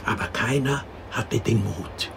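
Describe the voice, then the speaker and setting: An actor in a radio drama playing a storyteller who patiently tells a child a princess fairy tale, expressive and explanatory. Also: mid-range voice, measured pace, creaky. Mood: patient storytelling. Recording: noticeable background noise.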